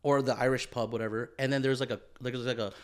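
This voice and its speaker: A man's voice: mid-range voice, fairly even intonation, quick pace, clear, resonant tone. Laid-back Bay Area guy saying bro. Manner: laid-back, calls people bro and dude, swears often. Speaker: podcast co-host. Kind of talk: casual chat.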